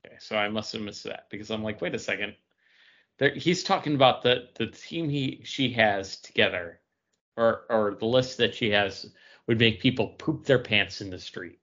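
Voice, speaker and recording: A man's voice: on the low side, normal speed, a bit bright, highs cut off. A man casually talking through a story as a podcast co-host. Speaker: comic book podcast co-host. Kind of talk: casual book discussion.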